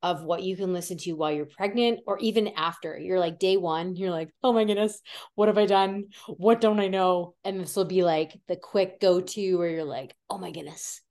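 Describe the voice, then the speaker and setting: A woman's voice: on the low side, moderate pace, a little mellow. A woman co-hosting a podcast, upbeat and chatty and full of "like". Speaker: podcast co-host. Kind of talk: casual podcast chat.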